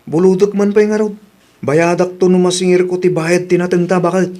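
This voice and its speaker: A man's voice: high-pitched, little pitch movement, fairly quick, dark tone, lightly acted. A man playing a grumbling, money-minded village husband in a radio comedy drama, questioning and nagging.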